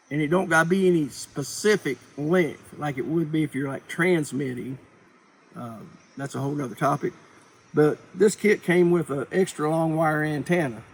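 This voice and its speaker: Folksy man with a higher-pitched voice. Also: breathy edge, rough recording. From Appalachia, United States. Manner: folksy, plain-spoken, rambling. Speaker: preparedness vlogger. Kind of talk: informal instructional talk to viewers.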